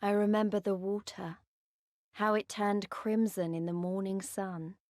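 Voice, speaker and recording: A woman's voice: mid-range voice, level tone, a little mellow, no room sound, lightly acted. Woman as a wistful game narrator, very dry. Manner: measured, reflective, storytelling. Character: wistful woman narrator recalling a far-off homeland sea. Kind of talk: video game cutscene narration.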